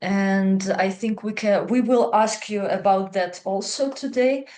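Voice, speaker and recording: A woman moderator opens the event and hands over to a colleague. She speaks slowly, pausing often with ums and uhs. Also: mid-range voice, darkish tone. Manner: hesitant, many ums. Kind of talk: event introduction.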